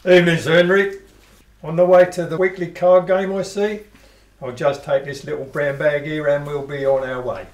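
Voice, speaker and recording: An actor playing a calm, cheeky bandit in an amateur radio play. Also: male voice, a little high, normal speed, natural voice. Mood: wry.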